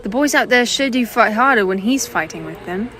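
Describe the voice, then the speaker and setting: Lady playing a confident army intelligence agent, assured, voice a bit high, radio drama style. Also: lively intonation, brisk, a bit bright. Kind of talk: audio drama acting.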